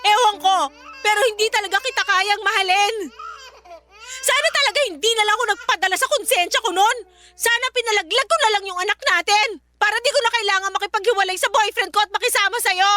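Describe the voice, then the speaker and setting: A woman's voice: very high-pitched, quick pace, bright, forceful tone. A Filipino woman playing a resentful young mother in a radio drama, curt, sarcastic and confrontational. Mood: angry, resentful. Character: bitter, resentful young mother rejecting her baby and partner.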